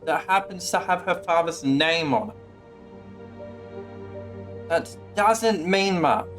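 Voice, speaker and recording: A woman's voice: on the low side, slow pace. A woman game streamer giving casual live commentary in a blunt, skeptical, sweary way. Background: game music.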